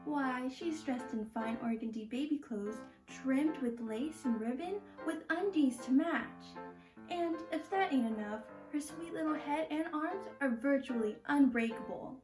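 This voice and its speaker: A chirpy little girl voiced by a woman gushes like a seasoned salesperson in an old radio ad. Her voice is high, with some echo and clear music behind. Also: a little slow. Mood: gushing. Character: chirpy, gushing little girl pitching toys. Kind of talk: old-time radio advertisement acting. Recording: echoey stage, music behind.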